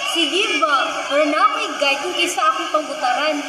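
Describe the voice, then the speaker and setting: An outspoken young Filipino woman played by a woman talks in a high, opinionated voice. This student radio drama sounds echoey, with a loud crowd and noise behind. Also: normal speed, close to an everyday voice. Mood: eager. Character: sceptical, outspoken young female student friend.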